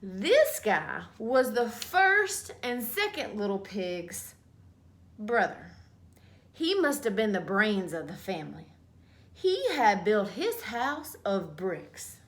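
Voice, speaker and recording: A woman's voice: mid-range voice, big pitch swings, takes it slowly. A woman voicing a self-justifying, folksy male wolf in a children's storybook read-aloud, with dramatic mock-innocent delivery. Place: small indoor room at home.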